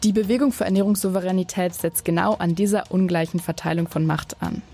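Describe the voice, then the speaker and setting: A woman narrates a podcast from a script, her voice low and mellow. She delivers each point evenly, steady and informative, like a read text. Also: soft background music. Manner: measured, even, informative delivery.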